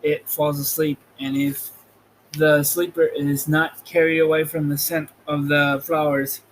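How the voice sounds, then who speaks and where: A man reading a children's storybook aloud as a podcast host, in an amateur, slightly halting way. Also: on the high side, flat delivery, slight background noise, poor audio.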